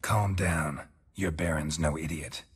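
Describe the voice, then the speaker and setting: Very deep, husky stoic mercenary. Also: male voice, a little slow, heavily acted. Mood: stern, unbothered. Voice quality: gravelly, husky. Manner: terse, flat, dry. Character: gruff stoic male monster-hunting mercenary, weary and menacing. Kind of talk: video game acting.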